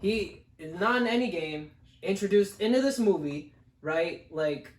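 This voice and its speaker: A young man chatting casually through a movie review, halting and rambling, joking and swearing. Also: high-pitched, animated. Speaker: co-host of a movie review show. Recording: roomy, echoey room sound.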